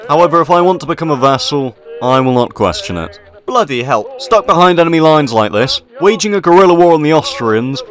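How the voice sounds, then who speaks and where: A man's voice: mid-range voice, fairly bright tone. A man commentating gameplay in character, using pompous, posh officer bluster and dry asides. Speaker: gaming video host. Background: game music.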